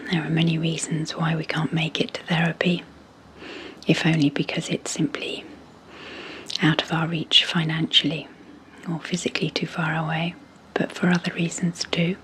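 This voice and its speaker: A woman speaking in a breathy half-whisper as an ASMR host, slowly and gently, with long pauses, introducing sleep hypnosis. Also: sits low, level tone, slight background noise, poor audio.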